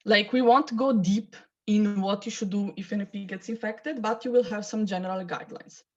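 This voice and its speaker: A woman's voice: mid-range voice, fairly even intonation, normal speed, darkish tone, muffled recording. A woman, hosting an onboarding call in a chatty, informal way and laughing often. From Italy. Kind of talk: casual online presentation. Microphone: video call.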